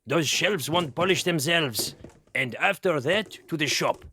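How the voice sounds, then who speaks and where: A man's voice: a little high, expressive, measured pace, bright, forceful tone, a little hiss or hum, full character voice. An actor playing a brusque, bossy male head chef giving orders in a children's audio drama. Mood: curt, impatient.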